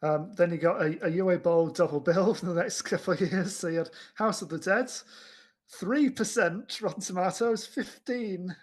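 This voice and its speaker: A man's voice: high voice, moderate pace. A man chatting casually as a podcast host about films, with a hesitant delivery full of repeated restarts. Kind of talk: casual chat reviewing films.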